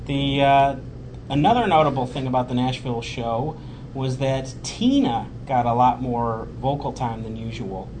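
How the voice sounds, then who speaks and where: Male podcast host, casual and hesitant, ordinary middle pitch, noisy home setup. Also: normal speed. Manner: conversational, hesitant with fillers, reads a prepared roundup. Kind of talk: casual podcast chat and show recap.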